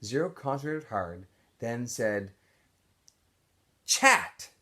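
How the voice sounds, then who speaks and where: A man reading a story aloud in an expressive storytelling style, acting out the dialogue. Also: on the low side, normal speed. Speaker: teacher reading a novel aloud. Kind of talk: storybook read-aloud narration.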